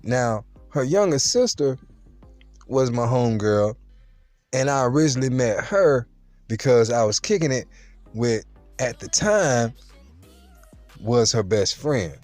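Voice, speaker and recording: A young man telling a true story straight to the camera in a casual, slangy, animated way. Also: medium pitch, a little slow, long pauses, no room sound, soft background music.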